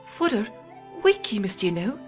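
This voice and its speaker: A woman's voice: mid-range voice, big pitch swings, moderate pace, lightly acted. A woman voicing a noble, earnest thirteen-year-old squire boy in a storybook tale, declaiming in archaic English with music underneath. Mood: puzzled.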